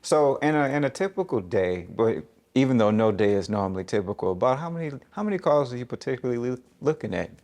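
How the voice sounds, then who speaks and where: A man's voice: medium pitch, lively intonation, fast talker, hardly any pauses, a little mellow. A man hosting a local TV interview, talking informally with his guests and giving short affirmations. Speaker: show host interviewer.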